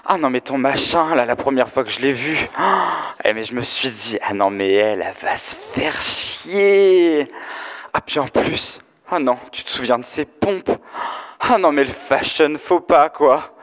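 A young man playing a flamboyant, fashion-obsessed DJ friend, gossiping in trendy slang with theatrical, drawn-out exclamations in comic audio fiction. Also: medium pitch, animated, a bit bright. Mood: gossipy, mocking. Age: teenager or young adult. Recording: echoey hall, live stage performance.

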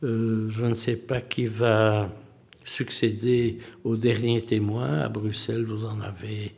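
Older man, low gravelly voice, slow halting speech with fillers. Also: level tone, darkish tone. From Belgium. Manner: halting, many fillers and restarts. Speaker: museum president, witness. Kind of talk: interview answers.

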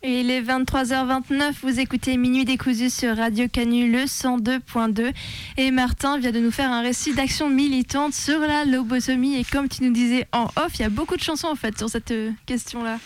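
High, very clear Frenchwoman hosting radio. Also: fairly even intonation, normal speed. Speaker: radio host. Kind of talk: casual live radio presenting. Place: radio studio.

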